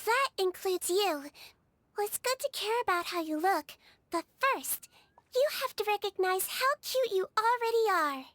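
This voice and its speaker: A woman voicing a small, sweet young female melusine nurse in a video game, with a childlike voice and a gentle, earnest, reassuring manner. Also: very high voice, lively intonation, measured pace, no room sound, heavily acted. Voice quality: childlike, girlish. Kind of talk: video game character voice lines. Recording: studio voice over game music.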